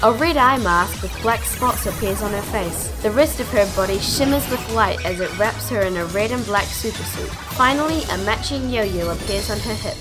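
A woman's voice: mid-range voice, lively intonation, moderate pace, hardly any pauses, low-quality recording. Woman narrating a story over music.

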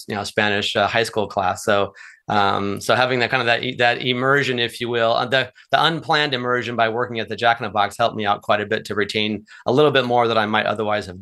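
A man's voice: lowish voice, fairly even intonation, a little fast, bright, forceful tone. A man hosting a podcast, upbeat and chatty, reading a scripted intro then interviewing a guest. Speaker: podcast host.

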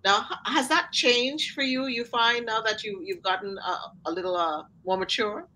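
A woman's voice: a little high, moderate pace, pushed and bright, slight background noise, low-quality recording. Middle-aged woman host, bit of a trini accent, peppy, talking on a video call from home. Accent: slight Trinidadian. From Trinidad and Tobago heritage. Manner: enthusiastic, encouraging. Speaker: talk show host and mental fitness coach. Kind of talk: warm upbeat interview hosting.

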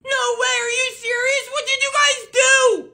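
A woman's voice: little pitch movement, moderate pace, bright, forceful tone, raised voice, heavily acted. A woman voicing a bratty, melodramatic little boy in a comedy skit, with a whiny, wailing delivery. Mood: upset. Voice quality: high-pitched, whiny.

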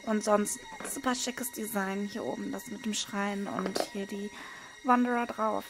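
Hoarse woman with a gravelly voice. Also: medium pitch, measured pace, music in the background. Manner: relaxed, with frequent hesitation fillers. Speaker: card unboxing video host. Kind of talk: casual unboxing commentary. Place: indoors at a desk, hands over table. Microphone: small camera-mounted microphone.

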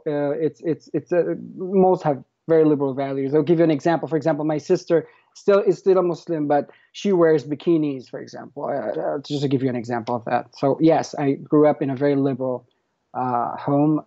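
A man in his thirties with a Moroccan accent, answering in a conversational interview, explaining haltingly and repeating words as he goes. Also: a little high, dark tone, muffled recording. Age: 30s. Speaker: podcast guest, activist.

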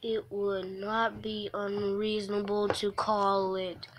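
A woman livestreamer reading a visual novel aloud, haltingly, breaking off for casual offhand asides. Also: medium pitch, level tone. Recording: noisy mobile stream with clicks.

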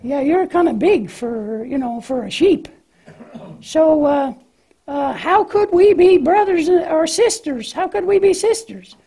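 Super high little lamb puppet, soft mellow tone, naive n full of questions, kids church show. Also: female voice, lively intonation, unhurried. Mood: puzzled, chatty. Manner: hesitant, questioning, childlike. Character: cheerful, naive young female lamb puppet.

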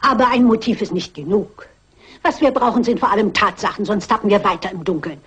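An older actress playing a shrewd, stubborn elderly lady sleuth in a crime radio play, brisk, dignified and self-assured. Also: mid-range voice, slightly rough. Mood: determined.

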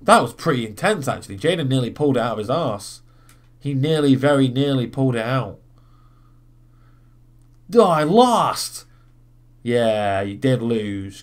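A man giving casual let's play commentary, swearing freely and thinking his moves through aloud. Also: mid-range voice. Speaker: gaming video host. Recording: game music behind the voice. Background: video game music.

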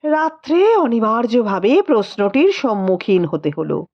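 A woman narrating a literary story in an expressive, measured, dramatic audiobook style. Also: a little high, dull audio.

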